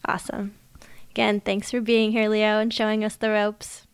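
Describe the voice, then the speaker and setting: A woman's voice: mid-range voice, completely flat delivery, moderate pace, clear, resonant tone. A young woman co-hosting a podcast, chatting in a friendly, casual way. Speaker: podcast co-host.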